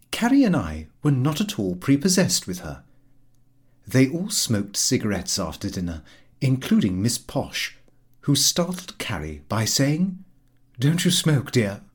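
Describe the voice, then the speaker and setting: A man giving an expressive, slightly fussy scripted reading of a comic diary novel. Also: medium pitch, husky. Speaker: audiobook narrator.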